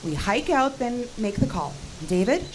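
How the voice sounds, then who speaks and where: A woman's voice: fairly high pitch, very animated, normal speed, background noise. A woman acting an anxious, insistent hiker in an audio horror drama. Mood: urgent, reasoning.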